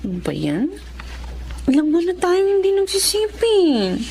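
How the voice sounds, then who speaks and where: A woman's voice: very high voice, wildly swooping pitch, normal speed, a little mellow, a little hiss or hum, heavily acted. An actress playing a trusting, defensive young wife and mother in a Tagalog radio drama. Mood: pleading, frustrated.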